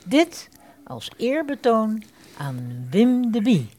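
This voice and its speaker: A woman hosting a radio play, reading a scripted introduction in a measured storytelling manner. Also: fairly high pitch, big pitch swings, mellow. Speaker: host, narrator.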